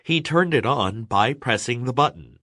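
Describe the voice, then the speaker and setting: A man reading step-by-step sentences for an English lesson, deliberate and clearly enunciated. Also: medium pitch, animated, pushed and bright, dry recording, studio quality. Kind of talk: scripted read-along narration.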